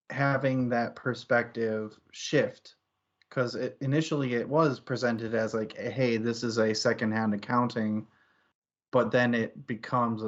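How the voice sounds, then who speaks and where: Male book-club host, slow and thoughtful, halting delivery, dulled low-fidelity audio. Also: highs cut off. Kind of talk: casual book discussion.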